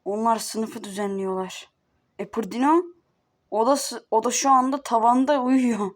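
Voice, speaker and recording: A woman's voice: on the high side, steady tone, measured pace. A woman chatting playfully in a fan-made cartoon dub. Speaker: creator voicing a fan cartoon. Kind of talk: casual fan voice acting.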